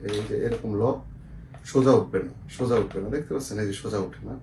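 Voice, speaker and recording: A man's voice: medium pitch, fast talker, mellow. A man with a Bangladeshi accent casually presenting and explaining products as a seller, often checking in with the viewer. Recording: echoey room, faint noise, clicks.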